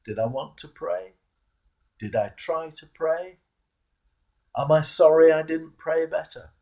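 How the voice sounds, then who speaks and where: A British man, a clergyman, reading a devotional reflection in a measured, emphatic way with a gravelly, husky voice. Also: on the high side, dark tone. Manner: measured, emphatic, repeats key phrases for weight. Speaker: Anglican clergyman. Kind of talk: devotional sermon reflection, read aloud.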